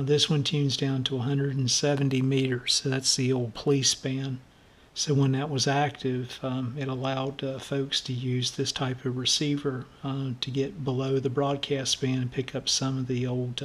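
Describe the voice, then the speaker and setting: A man with a scratchy, slightly soft voice from allergies chats in a casual show-and-tell style. His pitch stays level and even, and he fills his sentences with uh and um in a friendly, unhurried way. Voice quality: halting, many uh and um fillers. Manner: friendly, storytelling. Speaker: radio restoration channel host.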